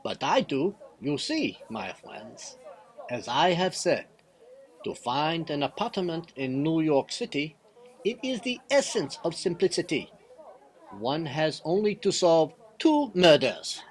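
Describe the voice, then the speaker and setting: An actor playing a clever, courteous, self-assured detective with a French accent and a formal, theatrical way of explaining, in an old-time radio mystery style. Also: male voice, on the high side, sing-song, slow pace, full character voice, low-quality recording. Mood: wry, satisfied.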